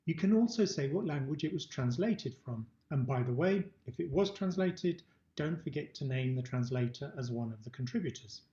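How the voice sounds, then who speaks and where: Mellow middle-aged man presenting, roomy sound. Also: mid-range voice, lively intonation, moderate pace. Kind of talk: prepared instructional webinar presentation. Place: indoor room. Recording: dull, roomy webcam-style recording.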